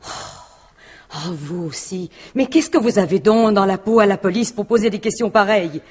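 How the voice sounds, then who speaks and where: An actress playing a defensive, jealous French widow in a radio mystery, talking in halting, colloquial phrases. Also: female voice, mid-range voice, a little mellow, slightly rough, lightly acted. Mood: indignant. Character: defensive, jealous widow, plain-spoken businesswoman. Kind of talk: 1950s-style French radio mystery acting.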